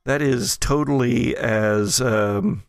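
Gravelly American man hosting a casual chat. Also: mid-range voice, slow, no room sound, clean studio sound. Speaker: podcast host.

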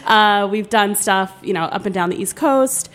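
A woman's voice: mid-range voice, normal speed, fairly bright tone. A woman guest, a wedding planner, talking in a friendly, rambling way in an interview. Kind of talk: casual interview talk. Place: hotel studio.

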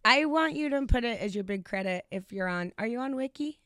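A podcast co-host, a man, cracking jokes at his own expense in a high, childlike voice. His banter is quick and self-deprecating, and the studio sound is close and dry with no room in it. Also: animated, a bit bright, smooth, dry recording. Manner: joking, self-mocking, says he uses a high baby voice on the podcast. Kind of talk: casual comedic chat.

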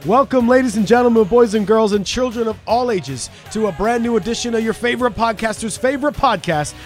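A man's voice: very high-pitched, a little fast, faint music behind. A man with a Canadian accent hosting a podcast with his friends, chatty, loose and profane. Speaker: podcast host. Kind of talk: casual podcast chat. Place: small home studio in Wales.

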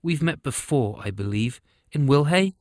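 A man's voice: mid-range voice, expressive, normal speed, dry recording, clean studio sound, voice pushed up, lightly acted. A man voicing a young princess who questions a captive with cool, pointed menace. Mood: threatening. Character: young princess, sharp and threatening.

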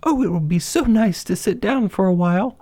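Man doing Goldilocks, a dainty high-voiced girl. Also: expressive, fairly quick, mellow, no room sound. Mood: contented. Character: dainty, fussy little girl, sweet and picky. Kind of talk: children's storybook character voice.

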